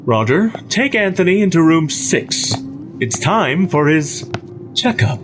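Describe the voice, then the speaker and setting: An actor playing a curt, commanding, petty asylum doctor in an audio drama. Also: male voice, fairly high pitch, big pitch swings, measured pace, music in the background. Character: stern, imperious asylum doctor, petty and authoritarian.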